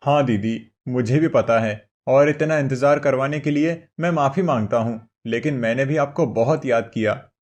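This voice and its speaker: A gentle younger brother from a cartoon moral tale, acted by a man, with a mid-pitched voice. He speaks earnestly and respectfully, full of devotion. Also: moderate pace, few pauses, natural voice. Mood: apologetic. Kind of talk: moral cartoon story acting.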